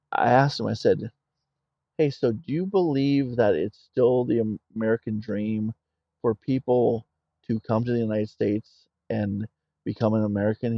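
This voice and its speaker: Gravelly middle-aged army veteran guest. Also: male voice, fairly low pitch, no echo, lo-fi sound. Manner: plainspoken, tells stories. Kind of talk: conversational interview.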